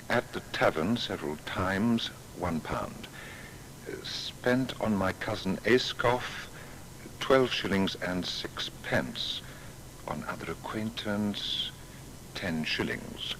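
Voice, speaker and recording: An actor playing a proud, fastidious young male scholar in a dramatised historical monologue, with deliberate, precise, declamatory delivery. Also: deep voice, a bit bright, slight background noise, exaggerated voice, rough recording.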